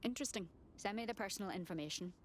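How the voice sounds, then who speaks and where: A woman's voice: mid-range voice, racing, a little hiss or hum, natural voice. A determined female rebel operative from a video game , voiced by a woman. She speaks in a mid-range voice with a cool, direct and businesslike delivery, keeping things terse. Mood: resolute, focused. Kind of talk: video game cutscene dialogue.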